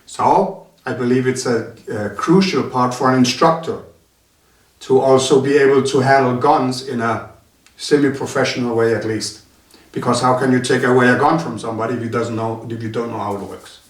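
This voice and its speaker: A man's voice: mid-range voice, fairly even intonation. Male instructor, hesitant interview guest, roomy far-off sound. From Denmark. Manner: halting, many filler sounds. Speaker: martial arts instructor. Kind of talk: casual interview talk. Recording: roomy sound from a distant mic.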